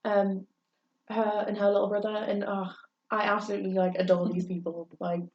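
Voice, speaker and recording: A woman's voice: medium pitch, little pitch movement, moderate pace. Earnest young woman, heard from afar. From England. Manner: earnest, rambling, frequent hesitations. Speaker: podcast co-host. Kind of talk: candid personal chat. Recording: roomy, distant, dull with faint hiss.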